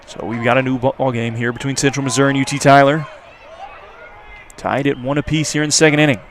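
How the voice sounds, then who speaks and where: Guy doing live baseball radio commentary, medium pitch, bit of crowd and ballpark noise in back. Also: little pitch movement, fast talker. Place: outdoor ballpark. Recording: outdoor crowd and background noise. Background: ballpark crowd and ambient noise.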